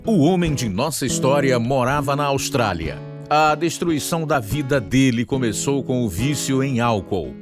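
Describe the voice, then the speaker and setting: A Brazilian male narrator with a medium-pitched voice introduces a radio drama. His delivery is scripted, formal and solemn, set over clear guitar music. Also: expressive, normal speed.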